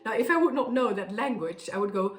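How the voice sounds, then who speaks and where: A woman from the Netherlands with a Dutch accent, a professional singer explaining her work in a thoughtful way with soft fillers, speaking at her harpsichord. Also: fairly high pitch, lively intonation, a little fast, echoey room, over loud music. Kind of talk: casual explanation to camera. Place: room with a harpsichord, indoors.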